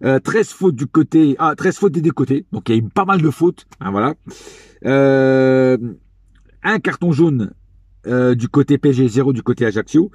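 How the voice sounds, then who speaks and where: Husky, hesitant French football fan. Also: male voice, medium pitch, expressive, moderate pace, darkish tone, no room sound, studio quality. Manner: hesitant, many fillers and restarts, chatty. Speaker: football fan reviewing a match. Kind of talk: casual spoken match review.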